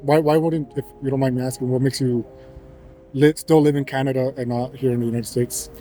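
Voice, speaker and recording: Mellow arizona guy asking questions, keeps restarting, mid pitch. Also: fairly even intonation, soft background music. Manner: halting, restarts his questions. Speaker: interviewer. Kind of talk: casual street interview.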